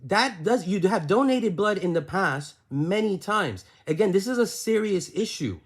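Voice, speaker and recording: A man's voice: high voice, lively intonation, moderate pace, breathy edge. Insistent man pressing hard on a call. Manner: insistent, keeps repeating "again" and pressing questions. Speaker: caller questioning his aunt. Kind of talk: heated phone argument.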